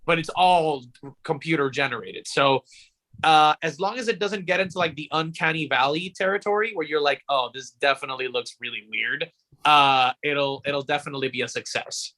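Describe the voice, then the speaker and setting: A man's voice: fairly high pitch, moderate pace, pushed and bright. A man co-hosting a podcast, thinking aloud in informal, slangy casual chat. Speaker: podcast co-host.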